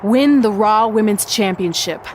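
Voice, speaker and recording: A woman's voice: medium pitch, a little slow, a little mellow, slight background noise, lightly acted. A woman playing an eager, earnest young female wrestler in a video game, chatty with a dry, self-deprecating wit. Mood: excited, flustered. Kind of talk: video game cutscene acting, conversational.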